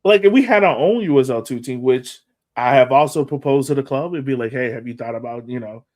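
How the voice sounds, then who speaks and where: A man's voice: mid-range voice, a little fast, a little mellow. A man hosting a casual soccer podcast, chatting in a slangy, informal way. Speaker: podcast host.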